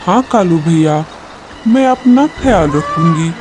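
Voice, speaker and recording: A man voicing a small, gentle mother bird who speaks earnestly and politely in a children's cartoon. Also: a little high, expressive, unhurried, background noise. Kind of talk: Hindi children's cartoon dialogue.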